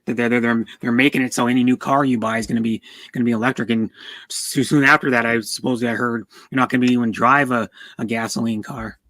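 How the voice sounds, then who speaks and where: A man's voice: mid-range voice, fairly even intonation, quick pace, fairly bright tone, no echo. A man chatting casually about cars on a livestream in a rambling, stammering way, often repeating words. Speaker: streamer. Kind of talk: casual car chat on a livestream.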